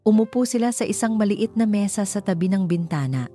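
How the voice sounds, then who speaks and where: A woman's voice: mid-range voice, lively intonation, brisk, dark tone, faint music behind. A woman with a Filipino accent reads a scripted romance story as its narrator.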